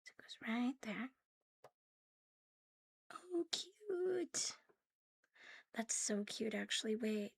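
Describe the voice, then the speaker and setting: A woman with a soft, airy voice rambles through an ASMR show-and-tell. She often drops toward a whisper and keeps a cute, playful catgirl manner as she chats to viewers close to the mic. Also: on the high side, animated, very slow, gravelly, long pauses, husky, no echo. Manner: playful, cutesy catgirl persona, talking to viewers. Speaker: ASMR host.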